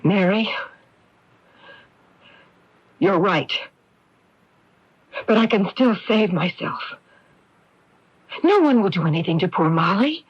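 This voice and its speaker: An actress playing a middle-aged sister in a radio mystery, sweet on the surface but defensive, with an emphatic, theatrical delivery on a radio broadcast recording. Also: female voice, medium pitch, animated, slow, long pauses, breathy edge, highs cut off. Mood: defensive, pleading. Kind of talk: old-time radio mystery drama acting.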